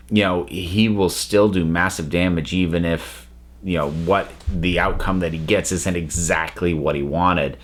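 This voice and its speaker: A man giving an unscripted review to viewers, speaking emphatically and haltingly as he thinks aloud. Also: deep voice, fairly bright tone. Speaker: reviewer and channel host.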